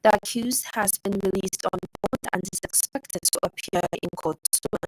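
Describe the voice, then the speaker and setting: A woman with a Zambian accent reading a formal radio news bulletin in a measured delivery. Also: medium pitch, soft background music, rough recording. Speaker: news reader. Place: radio studio.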